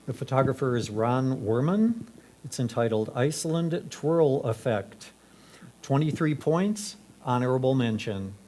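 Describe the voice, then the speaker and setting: Mellow-voiced man announcing scores. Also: mid-range voice, expressive, a little slow. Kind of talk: announcing titles, makers and scores.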